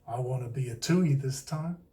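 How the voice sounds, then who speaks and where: A man's voice: medium pitch, moderate pace, warm tone, recorded from a distance, voice pushed down, natural voice. A man with a New Zealand accent voicing an eager, restless storybook character who asks earnestly and pleadingly. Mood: eager, hopeful.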